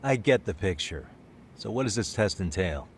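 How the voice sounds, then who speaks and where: A man's voice: on the low side, expressive, moderate pace, slight background noise. An actor playing an easygoing adult male private detective in a video game dub, casual and dryly understated. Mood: guarded, dry. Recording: music under the dialogue. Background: music.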